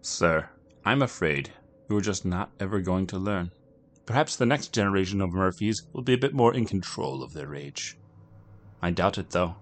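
A man doing a calm, formal, mysterious stranger. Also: low-pitched, pitched above the performer's own voice. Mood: cold. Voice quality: measured, formal diction.

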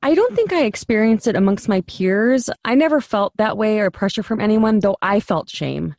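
A woman's voice: medium pitch, lively intonation, moderate pace, darkish tone, no room sound, clean studio sound. A young woman hosting a podcast, moving between casual interview talk and scripted voice-over, thoughtful and with small restarts. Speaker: podcast host.

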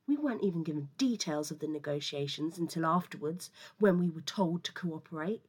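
An actress playing the distraught, pleading mother of a kidnapped teenager in an audio drama, her voice husky, breathy and strained. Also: lowish voice.

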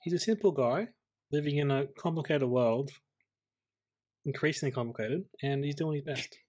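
A man's voice: on the high side, normal speed, a little mellow. A man co-hosting a film podcast in an easygoing, conversational way. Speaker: podcast co-host. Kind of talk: casual film podcast chat.

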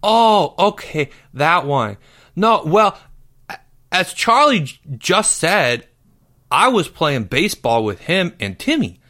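A man's voice: high voice, sing-song, slow, bright, forceful tone, pitched above the performer's own voice, heavily acted. A man voicing a nervous, evasive schoolboy with a hesitant, stammering delivery. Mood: flustered, evasive.

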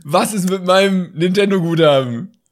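A man co-hosts a relaxed podcast conversation, with a voice sitting somewhat high. He chimes in with dry jokes and quick asides. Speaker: podcast co-host. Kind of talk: casual chat.

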